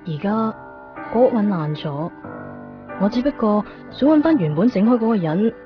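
An actress playing a melancholy young woman narrating her lonely thoughts in a radio drama, reflective and measured, with a Hong Kong Cantonese accent. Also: medium pitch, very animated, lightly acted. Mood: wistful. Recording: muffled radio broadcast with guitar music.

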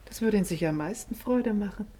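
An actress playing a middle-aged, wealthy, refined lady, reserved and gently tender, in a radio drama. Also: mid-range voice, quick pace, background noise, close to an everyday voice. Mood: polite, tender. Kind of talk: German radio drama acting.

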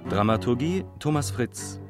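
A man's voice: mid-range voice, sing-song, a little slow. A man reading the closing credits of a radio play as a scripted announcement. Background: bowed string music, cello.

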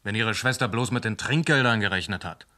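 A man's voice: on the low side, brisk, pushed and bright. An actor playing a polite, businesslike male police detective in a German radio play, speaking concisely. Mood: polite, sceptical.

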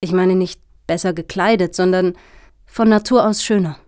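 A woman's voice: on the low side, steady tone, normal speed, darkish tone, lightly acted. A woman playing a self-righteous middle-aged female activist in a satirical radio play, speaking emphatically and preachily. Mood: indignant, earnest.

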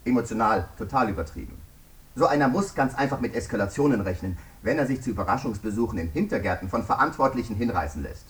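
Low-voiced stern prosecutor played by a man, animatedly oratorical. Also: lively intonation, fast talker, distant mic, natural voice. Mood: indignant, insistent. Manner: formal, emphatic, oratorical. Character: stern, indignant male prosecutor insisting on punishment. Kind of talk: German radio drama acting.

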